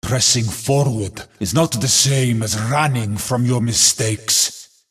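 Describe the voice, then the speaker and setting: An actor playing a battle-hardened, stoic warrior in a video game, declaiming poetic lines solemnly in a gritty, husky voice. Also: male voice, mid-range voice, slow pace, fairly bright tone. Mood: grave, resolute.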